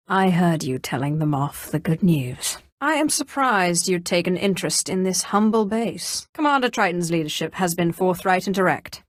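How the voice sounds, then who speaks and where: Cold, imperious low-voiced Sith sorceress. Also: female voice, dry recording, studio quality. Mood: cool, probing. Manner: imperious, clipped, dryly sardonic. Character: female Sith Inquisitor, cold, commanding, menacing young sorceress. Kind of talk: scripted video game dialogue.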